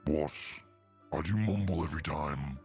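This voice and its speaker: A man voicing a grumpy plant creature that guards a swamp, gruff and slow, in a Minecraft roleplay. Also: extremely low pitch, darkish tone, soft background music. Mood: grumpy, exasperated. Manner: gruff, irritable, slow and deliberate.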